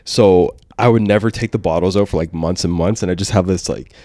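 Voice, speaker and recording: Low-voiced man telling rambling stories. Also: a little fast, dark tone, dry recording, studio quality. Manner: rambling, lots of fillers, drops to a mutter. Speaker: podcast guest. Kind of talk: casual storytelling chat.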